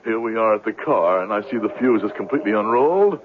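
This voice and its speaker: A man's voice: lowish voice, expressive, fast talker, slight background noise, lightly acted. Tinny old radio recording, male actor as florid con man, pompous, wordy, widely swinging intonation. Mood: calmly florid. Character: silver-tongued, grandiloquent swindler living on his wits. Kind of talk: 1970s radio drama acting.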